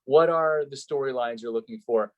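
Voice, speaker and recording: A man's voice: mid-range voice, normal speed, lo-fi sound. A man with an ordinary mid-range voice talks as an interviewed play-by-play announcer. He explains things conversationally and earnestly, putting emphasis on the points he cares about. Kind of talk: conversational interview, giving advice.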